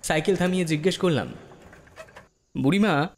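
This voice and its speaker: A man's voice: on the high side, normal speed, slight background noise. A man narrating a ghost story in the first person, in a dramatic storytelling style. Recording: long reverb with music bed. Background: background music.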